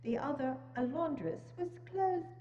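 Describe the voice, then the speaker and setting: A woman's voice: high voice, expressive, normal speed, dark tone. A woman reading scripted narration for a dramatic reading of a story. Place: large church hall, indoors. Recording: muffled echoey church hall, humming noise.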